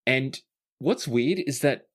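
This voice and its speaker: A person with a medium-pitched voice, narrating a tabletop roleplaying game casually as game master. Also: animated, slow pace, slight background noise.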